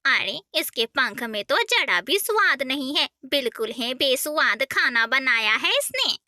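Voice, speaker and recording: A woman's voice: sits high, sing-song, measured pace, pushed and bright, no room sound, clean studio sound, full character voice. A woman voicing a spiteful, gossiping female crow in a cartoon. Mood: mocking. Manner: mocking, meddling.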